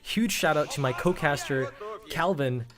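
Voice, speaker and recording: A young man hosting and casting a live game tournament, upbeat and full of fillers, with his voice going hoarse. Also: fairly high pitch, a little slow, a crowd in the background. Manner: upbeat, hype announcer intros with frequent fillers. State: losing his voice. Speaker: tournament host and caster. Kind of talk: live game commentary on a stream.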